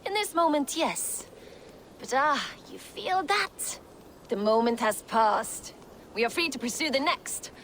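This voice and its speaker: A woman's voice: high-pitched, lively intonation, a little slow, fairly bright tone, slight background noise. A woman playing a bold, exuberant female Viking warrior in a video game, with a flirtatious manner. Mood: playful. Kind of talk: dramatic video game acting.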